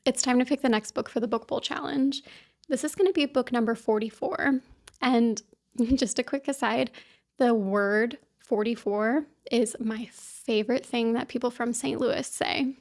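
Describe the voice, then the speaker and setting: A woman from talks straight to her viewers in a casual book vlog. Her voice sits a touch on the high side, and her manner is chatty and upbeat, full of little asides. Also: steady tone, measured pace. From Kansas City, US. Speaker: book vlogger. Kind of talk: casual chat to camera.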